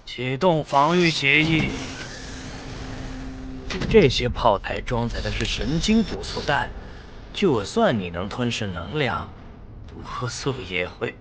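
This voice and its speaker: Slow, wildly swinging villain commander. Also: male voice, mid-range voice, very animated, a bit bright, slight background noise. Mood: menacing. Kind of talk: animated web drama dubbing.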